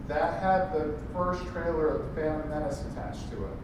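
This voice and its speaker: A man's voice: on the high side, little pitch movement, normal speed. A man chatting casually and off the cuff at a live panel. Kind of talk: casual unscripted panel chat. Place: large convention panel room. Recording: echoey hall, distant mic, crowd noise.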